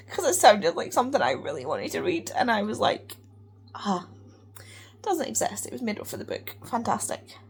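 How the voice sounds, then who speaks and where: A woman chatting casually as a book vlogger, gushing and excitable, at times dropping to a near whisper. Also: medium pitch, moderate pace, slight background noise. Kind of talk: casual vlog chat.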